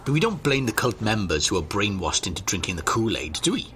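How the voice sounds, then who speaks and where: A man's voice: on the low side, sing-song, quick pace, fairly bright tone, a little hiss or hum. A man acting in an audio drama as a level-headed friend who reasons and keeps the peace. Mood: reasoning.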